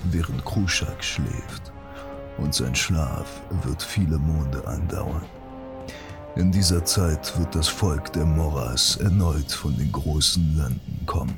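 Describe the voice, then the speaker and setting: A man voicing an orc shaman who gravely recites a dark, ancient prophecy in a measured, portentous audiobook style. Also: rumbling low, exaggerated voice. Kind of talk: solemn scripted fantasy audiobook recitation.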